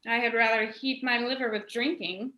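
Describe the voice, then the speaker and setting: A woman as Charmian, bright high-voiced handmaid. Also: fairly even intonation, normal speed. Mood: playful. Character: Charmian, lively female attendant to a queen. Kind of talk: theatrical Shakespearean radio play acting. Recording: echoey room.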